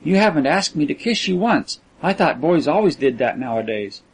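A man's voice: fairly high pitch, big pitch swings, normal speed, husky, heavily acted. A man voicing a sweet, naively vain rich teenage girl with earnest, artless chatter, reading from a story. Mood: coyly intimate.

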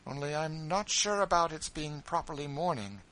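A man voicing a petulant, self-pitying wealthy widow, speaking sharply in a raised voice. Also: moderate pace. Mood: haughty. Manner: complaining, sharp, high and raised.

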